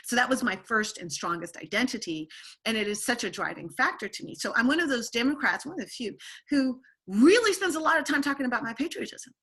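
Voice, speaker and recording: A middle-aged woman hosting an interview podcast, talking in an animated way with many restarts and asides. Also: fairly high pitch, fast, husky. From born in Turkey to an American family. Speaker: podcast host. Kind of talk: conversational interview.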